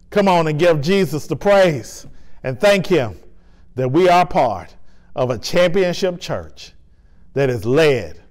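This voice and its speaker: A man, a pastor, leading a sermon and communion liturgy in a church sanctuary with a lapel mic, with fervent, emphatic preaching and solemn prayer. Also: fairly high pitch.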